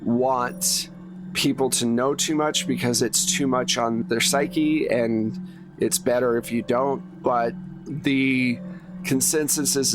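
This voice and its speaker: A man narrates a tabletop horror game as its game master. He takes his time, pausing between short phrases as he improvises. Music plays clearly behind his voice. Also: medium pitch. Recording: music playing under the voice.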